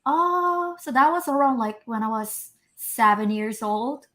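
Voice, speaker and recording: Bright-voiced woman in her thirties. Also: a little high, animated, measured pace. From South Korea. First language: Korean. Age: 30s. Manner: giggly, hesitant, searches for words. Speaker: guest. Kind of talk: casual interview chat.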